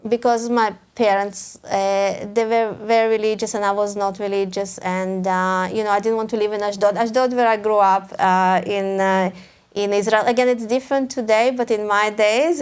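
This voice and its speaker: A woman's voice: mid-range voice, clean tone. A woman with a Russian accent, an author speaking at a live talk, hesitant, restarting often with small laughs. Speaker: author guest. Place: event hall before a live audience.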